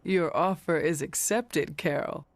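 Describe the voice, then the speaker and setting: A woman's voice: low voice, a little slow. A woman playing an earnest, formal warrior from centuries ago in a video game. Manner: formal, stiff, no contractions.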